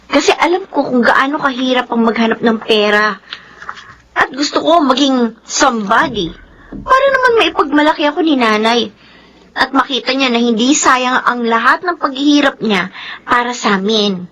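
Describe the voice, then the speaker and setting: A woman's voice: a little high, a bit bright, poor audio. A young woman with a Filipino accent playing an earnest, sisterly, hardworking student in a radio drama, emotive and admonishing. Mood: heartfelt. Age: young adult.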